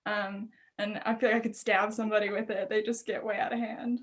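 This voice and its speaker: A woman's voice: mid-range voice, little pitch movement. A woman in her twenties, a guest guitarist, chatting casually and laughing easily on a video call. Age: 20s. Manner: chatty, giggly, quick to laugh. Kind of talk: casual chat.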